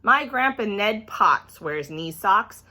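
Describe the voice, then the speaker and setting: A woman's voice: mid-range voice, animated, slow pace, pushed and bright, full character voice. A woman voicing a nosy, astonished little schoolboy in a children's storybook, exclaiming curious questions.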